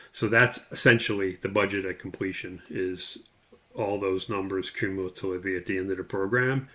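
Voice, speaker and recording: A man giving an instructional walkthrough as a course instructor, explaining in a halting way and spelling out terms. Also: on the low side, pushed and bright.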